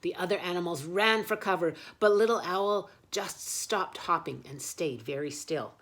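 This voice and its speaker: A woman's voice: on the low side, normal speed, fairly bright tone. A woman reading picture books aloud expressively as a children's storyteller.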